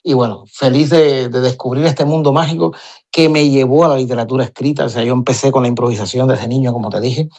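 Middle-aged cuban guy talking about verse improv, mid pitch, keeps restarting words. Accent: Cuban Spanish. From Cuba. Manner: animated, lots of repeated restarts. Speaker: guest, improvising poet. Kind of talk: interview answers.

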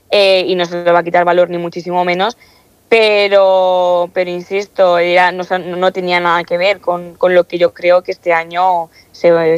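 A woman's voice: fairly low pitch, smooth, no echo. Young Spanish woman, muffled audio. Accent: Spanish from Spain. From Castilla-La Mancha, Spain. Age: early 20s. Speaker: student council president being interviewed. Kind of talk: conversational interview answers.

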